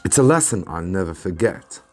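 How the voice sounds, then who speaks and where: A man playing a loyal English gentleman hero in a storybook reading, respectful and sincere. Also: sits low, very animated, fairly quick, faint music behind. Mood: sincere, humble.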